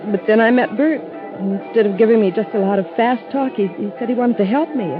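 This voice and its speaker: A woman's voice: a little high, brisk, over music, close to an everyday voice. An actress playing a young office assistant in a 1950s radio drama, earnest and emotional in her delivery. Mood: wistful, tearful. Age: young adult. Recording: muffled vintage radio recording.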